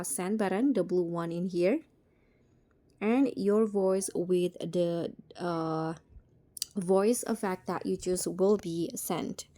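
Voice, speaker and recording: Slow, mellow-voiced woman giving a tutorial. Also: mid-range voice. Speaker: tutorial host. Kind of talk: step-by-step screen tutorial narration.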